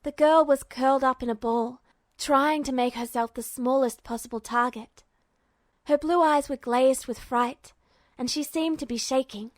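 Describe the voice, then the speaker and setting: A woman's voice: high-pitched, moderate pace, dry recording. A woman reading a fiction story aloud as a scripted narrator. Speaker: podfic narrator.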